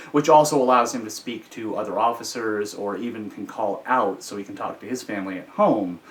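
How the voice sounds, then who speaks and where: An American man telling personal stories in an informal, measured, explanatory way. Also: medium pitch. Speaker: storyteller, host. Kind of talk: informal explanatory storytelling about personal experience. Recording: slightly distant from the microphone.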